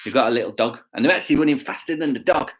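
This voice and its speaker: A man reading a children's story aloud with chatty asides, playful and expressive, often putting questions to his listeners. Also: mid-range voice, very fast. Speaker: children's bedtime story reader.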